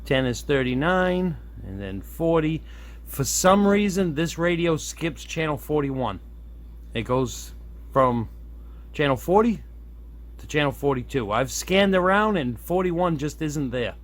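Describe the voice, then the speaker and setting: A man giving a casual hands-on demo, thinking aloud in an easygoing way as he presents his hobby project. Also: mid-range voice. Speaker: hobbyist presenter showing a radio modification. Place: indoors at a workbench. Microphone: camera on a tripod nearby. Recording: steady background noise, room ambience.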